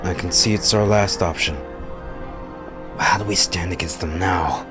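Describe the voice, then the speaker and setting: A solemn, stubborn ninja master from a fantasy war tale, acted by a man. The voice is deep and deliberate, in terse slow phrases over music. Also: fairly even intonation. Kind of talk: dramatised fantasy audiobook character.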